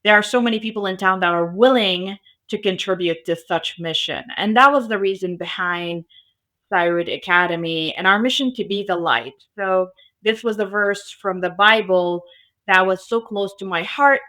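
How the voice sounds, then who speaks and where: Bright-voiced woman. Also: medium pitch, normal speed. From Arabic-speaking country. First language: Arabic. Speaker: guest, founder of a cybersecurity school. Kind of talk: podcast interview. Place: Las Vegas area.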